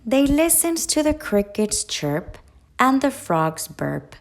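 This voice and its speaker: A woman who is a teacher, reading a picture book aloud to children in a gentle, expressive storytelling manner. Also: high voice, slow pace, vocal fry.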